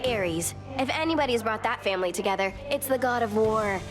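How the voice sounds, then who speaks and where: A woman's voice: fairly high pitch, brisk, natural voice. A woman voicing a mocking, chaotic goddess of strife in a video game, with a sly, taunting manner. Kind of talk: video game character dialogue. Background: game music.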